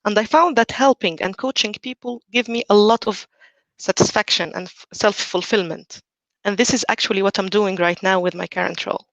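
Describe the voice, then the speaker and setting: A woman in her forties from Egypt, giving a measured, earnest prepared talk as a team coach. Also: medium pitch, a bit bright, no echo, lo-fi sound. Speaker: team coach, conference speaker.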